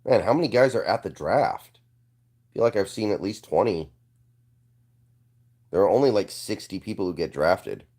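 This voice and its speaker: A young man hosting a casual live stream, congested and hoarse, answering viewer comments with an offhand manner and frequent throat clearing. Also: lowish voice, expressive, normal speed, pauses often, a little mellow.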